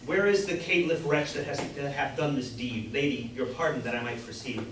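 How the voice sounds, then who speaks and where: A man's voice: mid-range voice, normal speed, natural voice. A man playing a pompous, gallant young apprentice turned knight-errant, declaiming in an amateur staged reading of a Jacobean comedy. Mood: grandly courteous. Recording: distant echoey room, background hiss.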